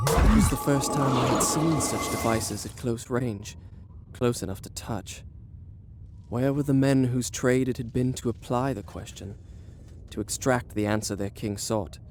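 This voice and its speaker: An actor playing a proud, headstrong young prince in a video game, speaking in a formal, literary and self-absorbed way. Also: male voice, mid-range voice, a little slow, heavy background noise, natural voice. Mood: somber, reflective. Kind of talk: dramatic video game acting, storybook-like narration. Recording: loud music behind the voice. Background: game soundtrack music.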